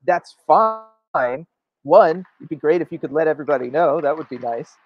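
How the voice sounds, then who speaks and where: A man's voice: on the high side, normal speed, dull audio. A man co-hosting a sports show, giving emphatic opinionated commentary and often checking for agreement. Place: indoor room, video call.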